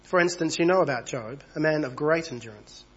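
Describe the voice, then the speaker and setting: A man over thirty-five, husky from a cold, preaching an evening church sermon in a conversational way and reading passages aloud. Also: on the high side, a little fast, fairly bright tone, highs cut off. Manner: conversational, reads passages aloud, small asides.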